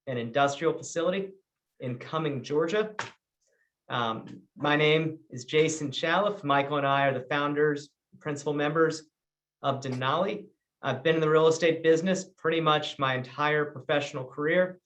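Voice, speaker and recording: A man with a slightly higher than usual pitch welcomes investors to a webinar and walks through the presentation in an organized way. An occasional um breaks up his sentences. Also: fairly bright tone, poor audio. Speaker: webinar host and real estate broker. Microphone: video call.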